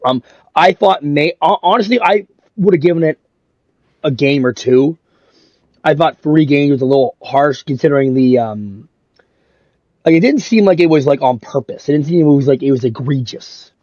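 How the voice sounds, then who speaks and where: A man co-hosting a casual hockey podcast, hoarse and raspy after an illness, rambling with lots of "like" and "you know". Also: a little high, normal speed, a little mellow, dry recording, highs cut off. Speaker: podcast co-host.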